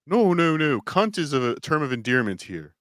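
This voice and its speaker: A man's voice: on the high side, very animated, moderate pace, fairly bright tone, no room sound, clean studio sound. A man putting on a mock-posh British accent, playing a crude prankster on a call-in show.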